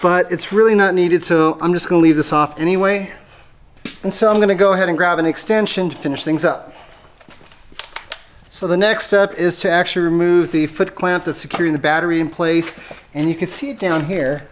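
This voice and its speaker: An American how-to host with a mid-pitched voice, explaining a hands-on job step by step in a casual, conversational way. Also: steady tone, a little fast, slight background noise. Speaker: host of an automotive how-to channel. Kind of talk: casual how-to tutorial narration.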